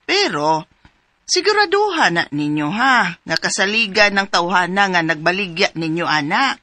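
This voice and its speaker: A woman's voice: fairly low pitch, very animated, normal speed, sharp tone, no room sound, full character voice. A woman with a Cebuano Filipino accent acting a cautious older mother in a radio drama. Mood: cautious, warning.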